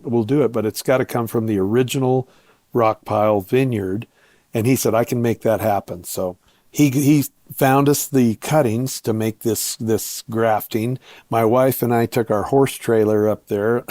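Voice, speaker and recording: A man explaining things as an interview guest, telling stories in a relaxed, measured, folksy way. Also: lowish voice, no room sound, clean studio sound. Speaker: guest, vineyard owner and grape grower.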